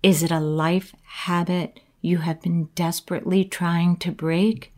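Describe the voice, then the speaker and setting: A woman giving a slow, earnest inspirational talk about courage, reflecting on her own life. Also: lowish voice, little pitch movement, a little mellow. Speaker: motivational speaker.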